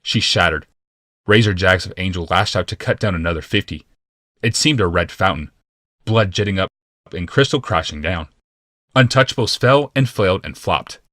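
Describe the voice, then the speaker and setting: A man's voice: low voice, normal speed, a bit bright, no room sound, studio quality. A man narrating fantasy fiction as a scripted, dramatic audiobook.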